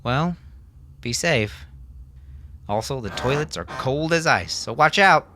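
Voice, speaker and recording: A man voicing a watchful, caring woman on the run in an audio story, placid, practical and gently teasing. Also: mid-range voice, expressive, slow, slight background noise, voice pushed up. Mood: lightly teasing.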